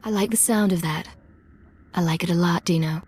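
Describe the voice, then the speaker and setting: Game role: cool female mercenary. Lowish pitch, composed, guarded, self-assured. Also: brisk, a little mellow, breathy edge. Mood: coaxing, teasing. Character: cool, confident female secret agent posing as mercenary. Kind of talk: video game voice acting.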